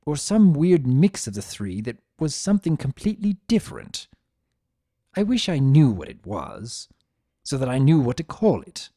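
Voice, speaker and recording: A man voicing a grumpy, possessive, secretly tender chaos creature who narrates his own story in a sardonic, expressive dramatic reading. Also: medium pitch, normal speed, no echo, studio quality. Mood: wry, reflective. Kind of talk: first-person dramatic reading of fan fiction.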